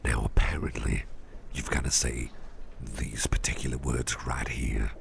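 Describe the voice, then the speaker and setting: A man performing an ASMR roleplay as an attentive boss, speaking in a gentle, reassuring, breathy half-whisper with an unhurried delivery. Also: extremely low pitch, flat delivery, slight background noise. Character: soft-spoken, attentive businessman boss who researches legendary artifacts. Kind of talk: ASMR roleplay, intimate one-sided chat.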